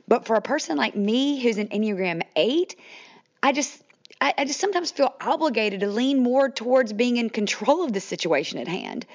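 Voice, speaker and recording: A Southern woman in her thirties hosts her podcast, talking straight to listeners in a chatty, friendly way. Her voice is croaky from allergies. The recording sounds a little dull. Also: a little high, animated, normal speed. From Mississippi, US. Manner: chatty, says "y'all", addresses listeners directly. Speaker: podcast host. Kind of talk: casual podcast monologue.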